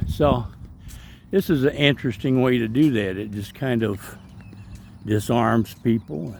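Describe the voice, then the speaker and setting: Gravelly middle-aged guy rambling on a park walk vlog, lots of ums, faint outdoor noise. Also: mid-range voice, darkish tone. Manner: rambling, chatty, with frequent ums and asides. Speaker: vlogger and street evangelist. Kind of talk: casual walking vlog narration.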